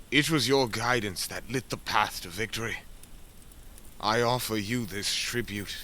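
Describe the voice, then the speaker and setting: A man's voice: mid-range voice, pushed and bright. A man playing a proud, cunning Greek warrior king in an epic audio drama, declaiming with command. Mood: reverent.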